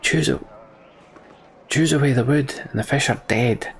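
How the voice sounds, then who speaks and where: A man's voice: mid-range voice, a little slow, slight background noise, pitched above the performer's own voice, natural voice. A man voicing a grim, blunt warrior in a fantasy war game, terse and sour.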